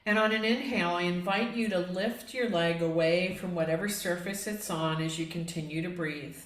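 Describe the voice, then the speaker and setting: A woman with a slightly low voice guides a meditation in an echoey room. She speaks as a yoga instructor, gently and in a measured way, inviting listeners along with each instruction.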